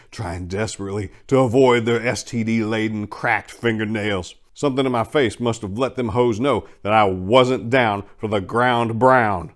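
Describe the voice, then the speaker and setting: A man narrating a story as a dramatic reading, theatrical and folksy, swinging between hushed delivery and loud emphasis. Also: fairly low pitch. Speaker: narrator and channel host.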